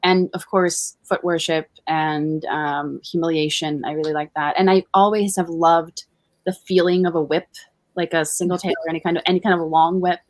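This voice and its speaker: A woman's voice: lowish voice, fairly even intonation, moderate pace, no echo. Candid woman in a dry-sounding interview. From Bosnia. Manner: frank, unguarded, with casual swearing. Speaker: interview guest, professional dominatrix and educator. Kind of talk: casual, candid interview chat.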